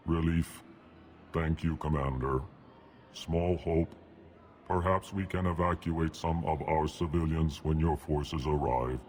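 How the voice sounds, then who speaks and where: A man voicing a huge, solemn alien diplomat in a video game, deadpan and ponderous, naming each emotion before he speaks. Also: very deep voice, slow pace. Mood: solemn pleading. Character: huge solemn elcor alien diplomat, pleading for his people.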